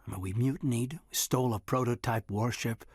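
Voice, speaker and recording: An earnest soldier in a space game, kinda husky, very dry close recording, sincere and restrained. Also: male voice, medium pitch, brisk, dry recording. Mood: wry, concerned. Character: earnest, loyal military officer in a space saga, adult man. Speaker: voice actor in a video game. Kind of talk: naturalistic dramatic game dialogue.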